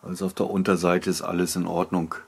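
A man's voice: low voice, little pitch movement, fast talker, vocal fry, slightly rough. A man in his fifties giving casual unboxing commentary as a product reviewer, chatting and thinking aloud as he inspects things at a table in a small wood-panelled room.

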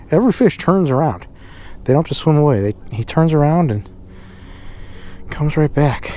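A man's voice: on the high side, mellow. A man, chatting to a chest-mounted camera while fishing on a windy, cold pond shore. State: cold, runny nose. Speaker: fishing vlogger. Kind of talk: casual outdoor narration. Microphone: action camera on chest harness.

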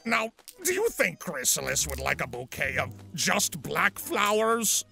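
A performer voicing an ancient male centaur villain in a cartoon story, lovesick and doting, gravelly and theatrical. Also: medium pitch, big pitch swings, unhurried, sharp tone, faint music behind, full character voice. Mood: cheerful, doting. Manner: theatrical, syrupy, grandiose. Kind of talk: cartoon fan fiction voice acting.

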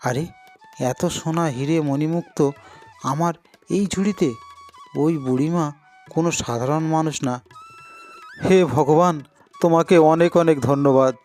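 Man acting a high-voiced, earnest kind-hearted brother. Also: a little slow, pauses often, darkish tone, faint music behind, natural voice. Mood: amazed, grateful. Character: poor, hungry, kind-hearted elder brother, pleading and devoted. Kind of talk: cartoon morality tale dialogue.

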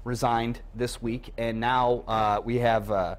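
A young man hosting a studio sports talk show, firing quick questions at his guests on a lapel mic. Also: mid-range voice, level tone. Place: studio set with couches.

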